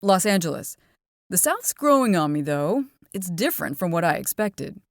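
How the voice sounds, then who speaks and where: A woman reading the part of a relaxed, slightly wry teenage boy who plays in a band, in a lightly lowered voice. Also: moderate pace, no room sound, clean studio sound. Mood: easygoing. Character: teenage boy, easygoing, laid-back musician.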